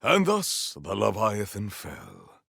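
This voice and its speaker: A man's voice: fairly low pitch, wildly swooping pitch, gravelly, fairly bright tone, husky, no echo, studio quality, exaggerated voice. A man voicing a stern, formal warrior in a video game, proud and battle-hungry, with grave and eloquent diction. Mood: solemn, measured. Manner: formal, measured, ceremonious diction, few contractions. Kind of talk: video game character voice lines.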